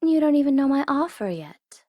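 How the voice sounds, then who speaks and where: A woman's voice: sits high, very animated, no room sound, dull audio, voice pushed up. A woman playing a taunting, coy and commanding supervillain who is secretly smitten, in an ASMR audio roleplay. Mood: sly, persuasive. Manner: taunting, coy, commanding, with drawn-out deliberate phrasing. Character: teasing, menacing tsundere female supervillain, secretly smitten.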